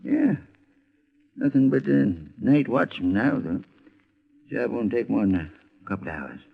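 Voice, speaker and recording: An actor playing an uneasy older night watchman who speaks haltingly and humbly in an old radio detective drama. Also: medium pitch, sing-song, vocal fry, breathy edge, heavily acted. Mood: nervous, humble. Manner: halting, hesitant, stammering. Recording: tinny, band-limited old radio recording.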